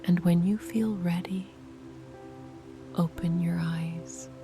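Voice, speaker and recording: Slow, whispering woman guiding meditation. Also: on the low side, steady tone. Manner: soft, slow and soothing. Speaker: meditation guide. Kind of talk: guided meditation. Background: music.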